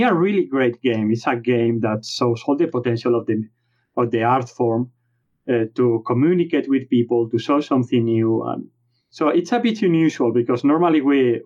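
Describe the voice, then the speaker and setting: A man with a Spanish accent who writes about board games, talking in a casual, animated interview with some restarts. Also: medium pitch. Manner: animated, self-deprecating, frequent restarts. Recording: dull lo-fi remote audio.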